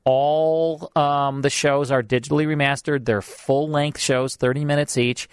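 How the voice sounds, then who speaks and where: A man's voice: on the high side, steady tone, unhurried, clean tone, no echo. A man hosting a radio show, chatting casually with his co-host and reading promos, with plenty of fillers, in a studio. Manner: chatty, repeats himself, fillers. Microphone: studio mic.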